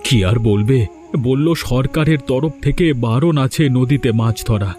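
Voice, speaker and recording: A man's voice: medium pitch, measured pace, mellow, soft background music, close to an everyday voice. A man with a West Bengal accent voicing a poor, life-weary village father in a cartoon, lamenting melodramatically. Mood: despairing. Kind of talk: cartoon voice acting.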